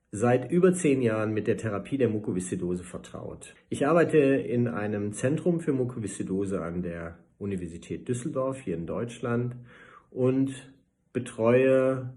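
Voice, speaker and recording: A man's voice: medium pitch, dark tone. A man speaking as a doctor in a formal self-introduction. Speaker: paediatric lung doctor.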